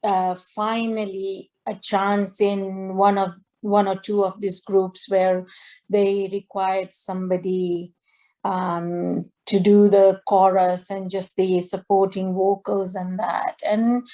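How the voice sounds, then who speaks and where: A middle-aged woman from Tanzania, a singer on a video call, talking slowly with many fillers and restarts. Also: mid-range voice, little pitch movement, mellow. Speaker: guest singer. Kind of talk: interview over video call. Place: indoor home room.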